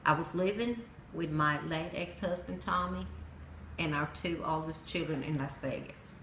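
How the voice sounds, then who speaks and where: A middle-aged woman from Sweden with a Swedish accent, telling a personal story in plain storytelling with pauses and some non-native grammar. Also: low voice, fairly bright tone. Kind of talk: personal narrative speech. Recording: echoey room with faint noise.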